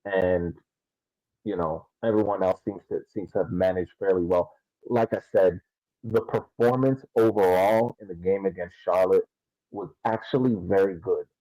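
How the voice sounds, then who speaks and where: Man, podcast co-host, low-pitched, halting soccer analysis, dry close sound, slightly muffled. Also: warm tone, no room sound. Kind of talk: casual soccer analysis talk.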